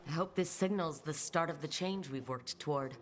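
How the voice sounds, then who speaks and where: An actress playing a calm, supportive adventurer hero in a video game, plain and sincere in manner. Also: female voice, deep voice, moderate pace, close to an everyday voice. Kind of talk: video game cutscene acting. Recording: loud music behind the voice.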